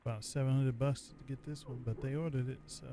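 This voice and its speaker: Mellow-voiced man, low-key gameplay commentary. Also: steady tone, quick pace. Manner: low-key, terse remarks, thinking aloud. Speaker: gamer playing and commenting. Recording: faint rain noise behind.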